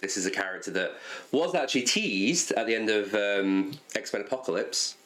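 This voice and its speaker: A man's voice: lowish voice, moderate pace, fairly bright tone. A man co-hosting a casual podcast, talking keenly and often tripping over and repeating his words. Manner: enthusiastic, stumbles and repeats words. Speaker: podcast co-host. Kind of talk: casual chat about cartoons and comics.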